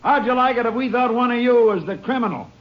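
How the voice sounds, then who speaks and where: Rural sheriff, Texan, folksy, wry, gruff when crossed, radio western, dull vintage recording. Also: male voice, very high-pitched, fast. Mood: angry scolding. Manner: folksy, drawling country idiom. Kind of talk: old-time radio western acting. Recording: muffled old radio broadcast recording.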